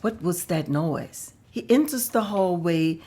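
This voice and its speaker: A woman narrating a table read in a plain, measured way. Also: fairly low pitch, animated, mellow, breathy edge.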